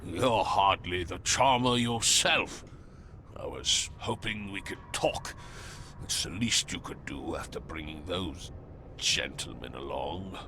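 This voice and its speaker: An actor playing a haughty, zealous male cultist in a video game, speaking formally and theatrically, often sinking into a breathy murmur. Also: low-pitched, slow, pushed and bright, slight background noise, full character voice. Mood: arch, courteous. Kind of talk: dramatic video game cutscene acting.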